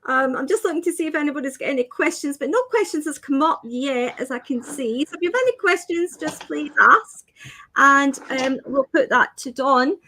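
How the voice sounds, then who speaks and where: A woman hosting an interview, speaking softly and hesitantly with many filler sounds. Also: high-pitched, expressive, moderate pace. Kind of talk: casual interview chat.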